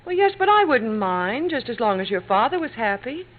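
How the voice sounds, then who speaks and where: Patient wife, lively high voice. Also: female voice, brisk. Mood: tender, worried. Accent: American. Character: patient, loyal farm wife and mother. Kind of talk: old-time radio comedy acting. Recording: tinny, noisy vintage radio recording.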